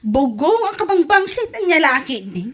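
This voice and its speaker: A woman's voice: extremely high pitch, big pitch swings, normal speed. A woman playing a hard-up, complaining villager in an Ilocano comedy drama, whiny and exasperated, with an Ilocano accent. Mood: grumbling.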